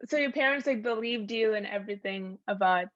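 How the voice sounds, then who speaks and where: A woman's voice: medium pitch, brisk, no room sound. A woman co-hosting an interview show, asking questions casually with lots of "like" fillers. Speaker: co-host. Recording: dull, dry lo-fi sound.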